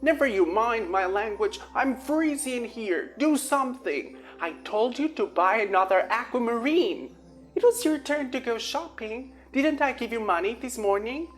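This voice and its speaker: Woman doing a high-strung teen witch, squeaky, dramatic, lively swoops. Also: measured pace, soft background music. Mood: exasperated. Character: teenage girl witch, dramatic and flustered.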